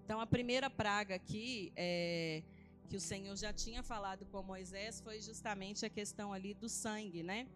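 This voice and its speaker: A woman's voice: medium pitch, fairly even intonation, normal speed, fairly bright tone, faint music behind. A woman with a Brazilian accent preaching in an informal, conversational way at a church service, teaching from scripture with colloquial tag questions. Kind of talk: informal Bible teaching sermon, reading and retelling scripture.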